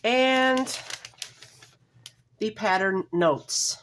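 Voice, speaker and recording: A woman with a slightly hoarse voice from a cold, hosting a casual step-by-step knitting tutorial from home in a homey, apologetic way, often pausing to correct herself. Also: medium pitch, fairly bright tone, slight background noise.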